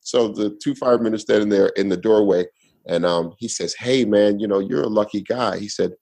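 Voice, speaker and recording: A man's voice: fairly low pitch, a little fast, creaky, darkish tone. A man telling a long personal story in a casual podcast chat, laid-back and rambling with restarts. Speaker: guest storyteller.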